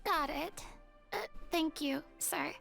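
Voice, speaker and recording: A girlish young woman from a fantasy video game. Her voice is extremely high, earnest and expressive, with a stagey flair and small hesitations. Faint music plays behind her. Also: slow pace, gravelly, full character voice.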